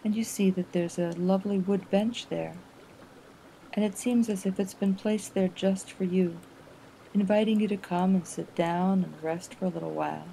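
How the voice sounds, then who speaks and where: A woman narrating a guided relaxation exercise in a gentle, soothing manner, with unhurried phrasing and pauses. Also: mid-range voice, fairly even intonation, vocal fry, a little hiss or hum, poor audio.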